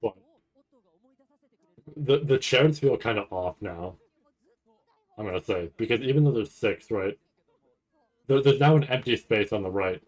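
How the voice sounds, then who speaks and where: A man giving casual, rambling live commentary as a streamer, halting and restarting often as he thinks aloud. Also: fairly low pitch, lively intonation, poor audio. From Canada. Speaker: streamer reacting to a live anime event.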